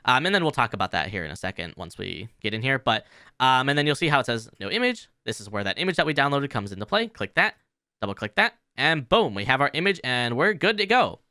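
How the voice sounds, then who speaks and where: Bright-voiced man walking through software, very dry. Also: mid-range voice, big pitch swings, fast talker, clean tone, dry recording. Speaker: tutorial host. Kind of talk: casual software tutorial.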